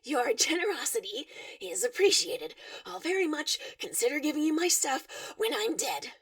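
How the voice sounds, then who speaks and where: A woman voicing a loud, exasperated young male troll who rants emphatically in a read-aloud story. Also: sits high, very animated, normal speed, no room sound, far from the mic, exaggerated voice. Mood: breathless, sarcastic. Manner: ranting, insistent, emphatic.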